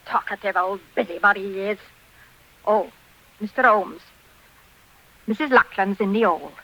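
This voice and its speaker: A woman's voice: mid-range voice, moderate pace. An actress playing a sour, disapproving village inn woman in 1940s radio drama style. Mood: scolding. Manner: sharp, disapproving. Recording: dull 1940s radio recording.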